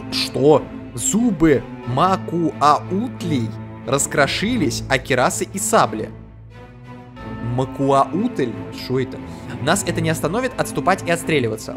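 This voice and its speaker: A man hosting a let's play, reading the game text aloud and reacting in loose slang. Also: a little high. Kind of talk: casual gameplay commentary. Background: game music.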